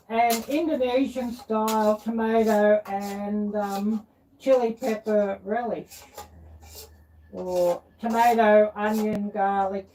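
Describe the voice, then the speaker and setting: A middle-aged woman hosts a casual cooking live stream. She talks very slowly in a medium-pitched voice, rambling and pausing to find her words. The sound is picked up from a distance. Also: dark tone. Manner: halting, rambling, searching for words. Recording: distant mic, clear background noise.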